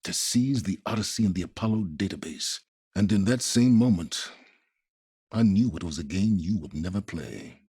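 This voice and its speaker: An actor playing a cold, calculating, aloof scholar in a video game, speaking formally with measured, condescending, sardonic phrasing. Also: male voice, sits low, animated, warm tone, breathy edge, exaggerated voice. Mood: grave, measured. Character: cold, calculating, aloof male scholar, middle-aged or older. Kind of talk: scripted video game voice acting.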